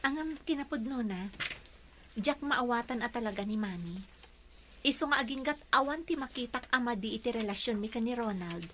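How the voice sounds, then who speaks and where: A woman's voice: a little high, lively intonation, normal speed, close to an everyday voice. A woman playing an earnest young daughter in love, pleading, in a radio drama with some background noise. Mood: puzzled, troubled. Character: earnest young daughter devoted to her boyfriend. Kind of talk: Ilocano radio drama acting.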